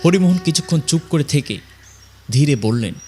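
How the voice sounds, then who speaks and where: A man narrating a scripted ghost story in a suspenseful, measured way with dramatic pauses. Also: a little high, lively intonation, warm tone, slight background noise.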